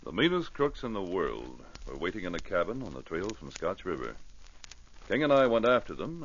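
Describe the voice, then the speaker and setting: A man with a North American accent playing a firm, reassuring Mountie sergeant in an old radio drama. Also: low voice. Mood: grave narration. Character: steadfast, kindly Mountie sergeant with a loyal dog. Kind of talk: 1940s-50s radio drama acting. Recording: noisy, muffled old radio broadcast.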